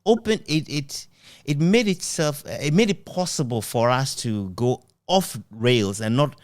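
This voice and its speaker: A middle-aged man conducting a reflective, conversational interview in a sentimental, halting and thoughtful way. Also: mid-range voice, dry recording, studio quality. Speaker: interviewer, journalist.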